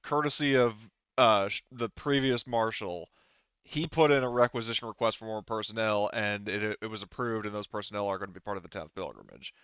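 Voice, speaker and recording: Clear-voiced man explaining a tabletop game. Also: lowish voice, fairly quick, a bit bright, no echo, lo-fi sound. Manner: patient, step-by-step clarifying. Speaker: game master.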